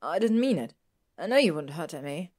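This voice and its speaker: A woman's voice: on the low side, lively intonation, normal speed, natural voice. Woman voicing headstrong, emphatic teenage schoolgirl witch. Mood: distressed.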